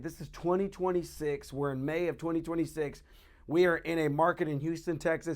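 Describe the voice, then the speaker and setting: Guy real estate coach, kinda higher voice, blunt and casual, really hammers his points. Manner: blunt, emphatic, repeats points; informal, colloquial. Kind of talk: straight-to-camera coaching talk to fellow agents.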